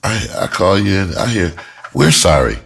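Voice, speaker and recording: A man's voice: low-pitched, big pitch swings, moderate pace, a little hiss or hum. A middle-aged American man telling a personal story casually and candidly, in a rambling, slangy way with explicit language. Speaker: storyteller, writer and music producer.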